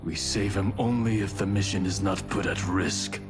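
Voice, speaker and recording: An actor voicing a stern, vengeful ninja commander in a video game, curt and distrustful. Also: male voice, low-pitched, fairly even intonation, moderate pace, music in the background, close to an everyday voice. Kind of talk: video game character acting.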